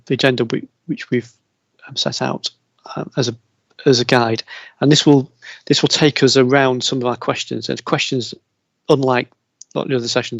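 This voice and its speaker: Gravelly British man chairing a hearing. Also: medium pitch, no room sound, lo-fi sound. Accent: British English. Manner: hesitant, many fillers and repeats. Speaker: planning inspector chairing hearing. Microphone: video call.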